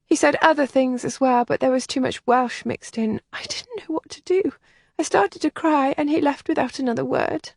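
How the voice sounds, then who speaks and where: A woman narrator voicing a shy, distressed young woman who speaks in a breathy, trembling, often whispered voice. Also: sits high, normal speed, a little mellow, no room sound, clean studio sound, pitched above the performer's own voice. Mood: tearful. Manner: pleading and anxious. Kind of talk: audiobook character voicing.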